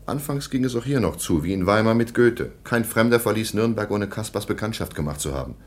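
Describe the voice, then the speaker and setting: Man as a low-voiced, sardonic baron. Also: expressive, brisk, natural voice. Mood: indignant, narrating. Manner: dry, formal, sardonic. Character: stern, sceptical middle-aged German baron, sharply ironic. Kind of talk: German radio play acting.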